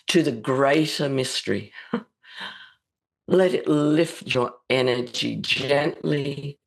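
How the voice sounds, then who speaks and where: A woman with a slightly croaky voice hosting a psychic radio show and leading a slow, soothing guided meditation. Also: a bass voice. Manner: slow, soothing, gently spiritual.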